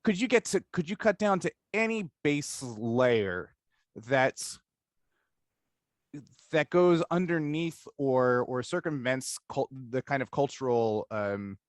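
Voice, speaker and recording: A man's voice: medium pitch, sing-song, slow, no echo, studio quality. A man hosting a casual interview, rambling and interrupting himself as he thinks aloud. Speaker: interview host.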